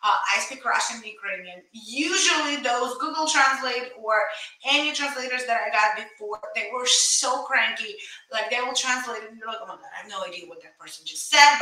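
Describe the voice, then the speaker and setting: A woman in her thirties hosting a live shopping stream, chatty and excited, talking straight to her viewers from a desk in a small home office. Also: a little high. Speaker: livestream shopping host. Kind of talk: casual live stream chat. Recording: echoey room, mic far off.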